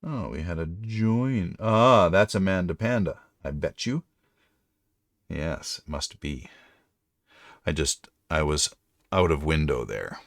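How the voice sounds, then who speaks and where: Very deep-voiced middle-aged man chatting. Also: expressive, slow pace, long pauses, no room sound, studio quality. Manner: rambling, self-correcting. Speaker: livestream host. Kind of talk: casual livestream chat.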